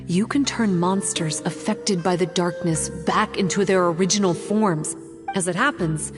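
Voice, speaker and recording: A woman playing a composed, guarded young woman who explains things in a measured way in a video game, music behind. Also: mid-range voice, lightly acted. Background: game background music.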